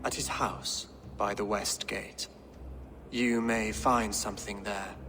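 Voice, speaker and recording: An actor playing a stern, guarded medieval king in a video game, speaking formally and with deliberate command. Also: lowish voice, fairly even intonation, pushed and bright, a little hiss or hum, heavily acted. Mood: reluctant, measured. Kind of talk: dramatic video game acting.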